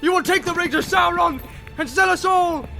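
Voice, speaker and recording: Guy doing a proud warrior man, loud and grabby, pitched way up, big declaiming voice. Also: sing-song, moderate pace, music in the background. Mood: furious. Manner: booming declamatory delivery, rising to shouts. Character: proud tall warrior man, grasping, turning to rage.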